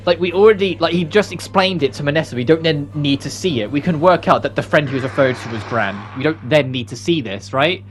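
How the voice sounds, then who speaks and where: A man's voice: fairly high pitch, lively intonation, fairly quick, hardly any pauses. A man hosting a let's play, reading story dialogue aloud and adding casual commentary. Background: game music.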